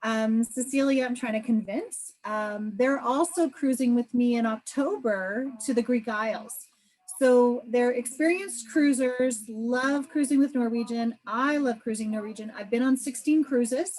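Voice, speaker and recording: A woman's voice: a little high, a little slow, a little mellow, low-quality recording. Upbeat woman travel agent on a call. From Canada. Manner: upbeat, chatty, many fillers. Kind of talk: video call presentation. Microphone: video call.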